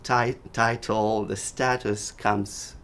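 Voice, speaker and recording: A middle-aged man whose first language is Russian, a clarinet professor speaking thoughtfully and measuredly in an interview, with pauses and restarts. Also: on the low side, flat delivery, fairly bright tone, smooth. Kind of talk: reflective interview. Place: living room with daylight window.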